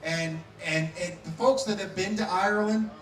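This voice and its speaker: A man's voice: sits high, normal speed, a bit bright. A man hosting a food radio and TV show, introducing a guest chef live at an event in an upbeat, promotional, announcer-like way. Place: outdoor festival stage. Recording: echoey PA sound from far off.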